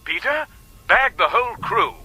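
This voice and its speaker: A brisk caller reporting by telephone in a radio drama. His voice is high and husky, with an animated rise and fall, heard through a phone filter. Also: heavily acted, poor audio. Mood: urgent, excited. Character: brisk male caller reporting on a crime gang.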